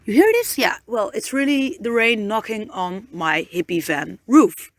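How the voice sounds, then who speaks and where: A woman's voice: medium pitch, expressive, unhurried, no room sound, studio quality. A young woman with a Dutch accent, a podcast host chatting casually and often restarting as she searches for words. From Netherlands. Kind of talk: casual solo podcast monologue. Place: inside a camper van in the rain.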